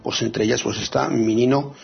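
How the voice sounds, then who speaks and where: Gravelly, husky man on lo-fi radio. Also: medium pitch, fairly even intonation, normal speed. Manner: hesitant, many fillers and restarts. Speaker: radio co-host presenting free software. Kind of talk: casual radio talk.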